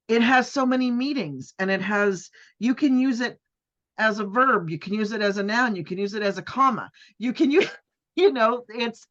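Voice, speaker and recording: A middle-aged woman hosting a podcast in casual, animated chat, often repeating words and restarting her sentences. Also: mid-range voice, highs cut off. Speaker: podcast host.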